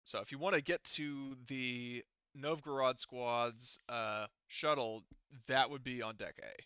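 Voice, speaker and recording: A man's voice: medium pitch, steady tone, unhurried, sharp tone, clean tone, no room sound, highs cut off. A man running a tabletop roleplaying game as game master, explaining things casually and thinking aloud as he goes.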